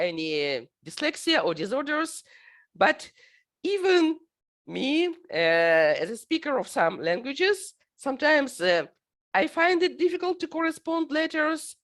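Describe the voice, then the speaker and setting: A middle-aged woman from Ukraine with a Ukrainian accent, a native Russian speaker, giving an academic presentation in a halting way, full of fillers. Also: high-pitched, fairly bright tone.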